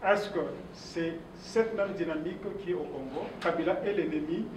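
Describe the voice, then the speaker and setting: A man's voice: on the high side, normal speed, darkish tone. A man asking a question at a public meeting in a hesitant way, with broken phrasing. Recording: echoey, distant mic, faint room noise.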